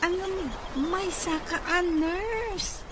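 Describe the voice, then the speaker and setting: A woman's voice: very high voice, very slow, noisy background, exaggerated voice. An actress playing a familiar, forthright godmother in an Ilocano-accented radio drama. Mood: chatty, persuading. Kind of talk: Filipino radio comedy-drama acting.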